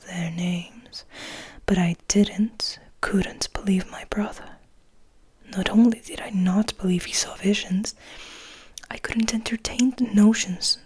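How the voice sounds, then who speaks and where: A woman's voice: medium pitch, a little mellow. A woman whispering as a serene, bitter male vampire. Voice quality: breathy whisper. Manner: measured, reflective, formal. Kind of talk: whispered ASMR storybook reading.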